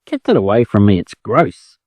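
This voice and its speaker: A man's voice: lowish voice, wildly swooping pitch, normal speed, a little mellow, no echo, highs cut off. An Australian man voicing a squeamish little girl chick in a storybook reading. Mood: disgusted. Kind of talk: storybook character voice.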